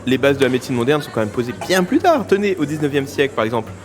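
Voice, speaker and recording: A man's voice: medium pitch, animated, racing, natural voice. A man playing a naive, pedantic time-travelling sidekick in a comedic audio drama, eager, earnest and chatty.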